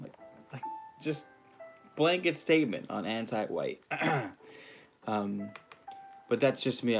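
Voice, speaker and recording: A man from California hosting a casual livestream, rambling in a laid-back way with frequent ums and greeting viewers by name. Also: lowish voice. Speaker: livestream host. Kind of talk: casual livestream chat reading viewer comments.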